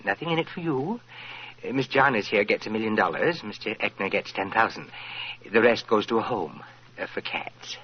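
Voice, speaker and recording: A man's voice: lowish voice, expressive, moderate pace, lightly acted. An actor playing a fussy, cranky, blunt male lawyer in 1940s radio style, hesitating and repeating himself. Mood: brusque. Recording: muffled, noisy old radio recording.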